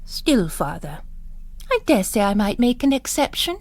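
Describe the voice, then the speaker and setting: A woman's voice: a little high, very animated, a little mellow, no room sound. A woman doing a bossy older nun in charge, gossipy and scornful, voice swooping about, dry sound. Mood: guarded, proprietorial. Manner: brisk, scornful, emphatic. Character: bossy, disapproving, gossipy older mother superior of a convent.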